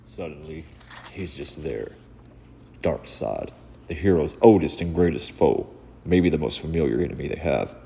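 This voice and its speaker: A man's voice: very deep voice, expressive, moderate pace, creaky, mellow, breathy edge. A man hosting a comic book channel, talking casually and narrating a read-along. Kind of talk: casual vlog talk and dramatic comic read-aloud narration. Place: indoor room. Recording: echoey reverberant room, audible background noise.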